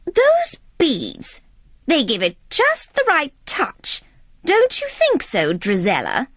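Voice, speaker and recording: A woman's voice: high-pitched, huge pitch swings, slow pace, fairly bright tone, dry recording, studio quality, voice pushed up, heavily acted. A woman voicing a haughty wicked stepmother in a children's storybook, mock-sweet and insinuating. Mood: sly, mock-sweet. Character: sly, haughty middle-aged wicked stepmother.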